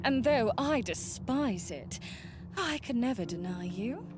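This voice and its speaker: A woman's voice: a little high, expressive, unhurried, noisy background. A woman playing a wistful, doting old friend in a visual novel, speaking in florid, archaic phrases. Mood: hysterical amusement.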